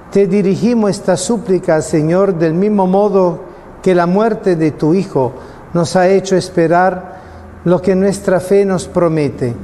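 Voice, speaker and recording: A middle-aged man, a priest, slowly and solemnly reciting the prayers that close a Mass in a small chapel. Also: high voice, mellow, in a large hall, a little hiss or hum. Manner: slow, solemn liturgical recitation.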